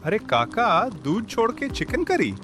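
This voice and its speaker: Man doing an excitable high-pitched village customer. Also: unhurried, over music, full character voice. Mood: surprised, eager. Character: eager, excitable village customer in cartoon tale. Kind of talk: animated story acting.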